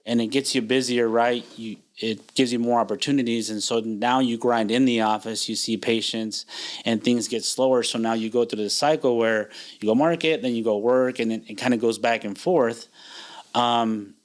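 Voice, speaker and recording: A man in his forties, a chiropractor guest in a podcast interview, talking in a relaxed, reflective way with many fillers and restarts. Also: medium pitch, normal speed. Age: 40s. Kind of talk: casual interview conversation.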